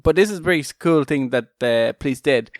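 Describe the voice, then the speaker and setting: Man, podcast co-host, casual banter, halting and rambling, irreverent humour, very little room sound. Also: medium pitch, no echo, studio quality. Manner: rambling, halting, often restarting sentences; joking, irreverent. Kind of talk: casual comedic podcast chat.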